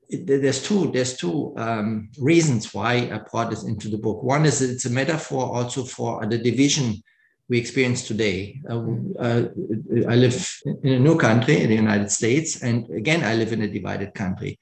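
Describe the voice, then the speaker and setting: A German-born middle-aged man speaks with a gravelly edge to his voice, his words ringing a little in a reverberant room. He talks thoughtfully, restarting phrases and filling pauses as he explains his ideas. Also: mid-range voice. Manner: thoughtful, many fillers and restarts. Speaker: author, guest. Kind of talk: conversational interview.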